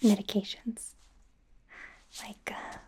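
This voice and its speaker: A woman voicing a nervous, gentle female werewolf doctor in a soft, breathy whisper, hesitant and reassuring, for an ASMR exam roleplay. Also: slow pace, lightly acted. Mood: careful, nervous. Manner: hesitant, self-correcting, giggly, reassuring.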